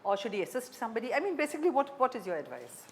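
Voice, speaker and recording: A woman's voice: a little high, huge pitch swings, racing. A woman with an Indian accent interviewing conversationally as a journalist, asking long questions that she keeps rephrasing. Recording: faint background noise.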